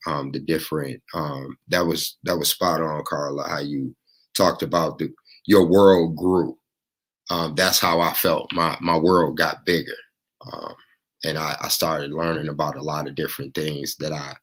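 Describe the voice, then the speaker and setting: A man from Indiana moderating a casual panel talk, thanking speakers and laughing between points. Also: sits low. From Gary, Indiana. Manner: relaxed, laughing asides, thanks speakers by name.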